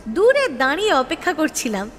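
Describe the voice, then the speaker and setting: Actress as scheming lovestruck young woman, audio drama narrator. High voice, reverberant, exasperated and confiding. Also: big pitch swings, brisk. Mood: wry, confiding. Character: scheming, proud, restless young woman in love, narrating her story. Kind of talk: dramatic first-person audio story narration. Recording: long reverb, music behind. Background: music.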